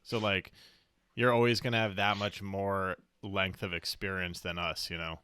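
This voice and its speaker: A man in his mid-twenties co-hosting a podcast, thinking out loud in casual chat with a lot of filler words. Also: low-pitched, flat delivery, normal speed, clear, resonant tone, no echo, studio quality. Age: 20s. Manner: thoughtful, reasoning out loud with many fillers. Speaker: podcast co-host.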